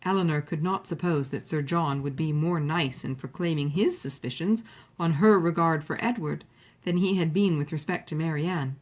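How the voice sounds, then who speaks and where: A woman's voice: sits low, normal speed, dull audio. A woman reading a classic novel aloud as an audiobook narrator, in a literary storytelling style. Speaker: audiobook reader.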